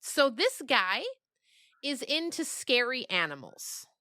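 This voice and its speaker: A woman's voice: high voice, very animated, slow pace, sharp tone, clean tone, no room sound, studio quality. A woman chatting and telling anecdotes in a dramatic, emphatic conversational style. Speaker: podcast guest, children's writer. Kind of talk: animated conversational storytelling.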